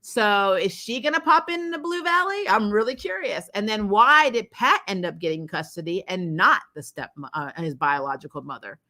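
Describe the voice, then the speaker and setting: Bright-voiced, opinionated woman hosting a livestream. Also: mid-range voice. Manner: animated, opinionated, quick to laugh. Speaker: host of a TV show review livestream. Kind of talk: casual livestream chat, reacting to episodes and reading viewer comments.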